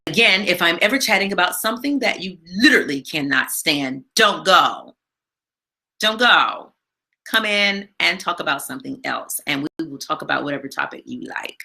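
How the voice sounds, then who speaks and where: A woman hosting a live stream from home, chatting casually with lots of fillers and a big, loud voice. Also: lowish voice, expressive, moderate pace, gravelly. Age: adult with a daughter. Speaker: live stream show host. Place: home, not her usual studio.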